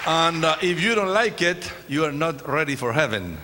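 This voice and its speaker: A man's voice: a little high, very animated, moderate pace, crowd noise. Chilean-accented man preaching in a reverberant hall. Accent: Chilean Spanish. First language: Spanish. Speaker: guest preacher. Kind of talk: sermon with informal, joking remarks to a congregation. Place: large church, speaking from the stage. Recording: reverberant church hall, congregation noise.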